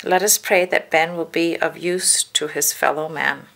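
A woman's voice: sits low, steady tone, measured pace, creaky, fairly bright tone, heavily acted. A woman reading the part of a courteous, formal male parson in a colonial storybook. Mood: courteous, warm.

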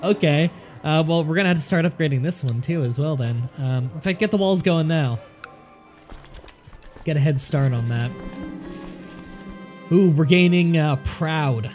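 A man with a nasal voice, a bit more congested than usual, keeps up a running, easygoing commentary on his strategy game. His voice sits a little higher than most men's and he often talks straight to the characters on screen. Soft music plays faintly behind him. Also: measured pace, long pauses. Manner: chatty, thinks aloud, talks to game characters. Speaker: let's play commentator. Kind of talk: casual gameplay commentary.

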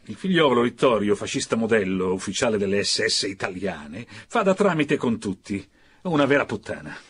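A defiant, cynical Fascist militiaman in a radio drama. His low voice swings with vehement, sneering emphasis, as if sharing dirty secrets. There is faint noise behind him. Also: very animated, normal speed, fairly bright tone. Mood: sneering, confiding.

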